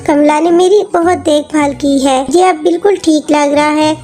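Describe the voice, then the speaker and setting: Woman acting a high-voiced young wife in need. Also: fairly even intonation, normal speed, warm tone, faint music behind, natural voice. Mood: relieved. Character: weary pregnant wife of a stranded traveler. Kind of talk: Hindi moral story voice acting.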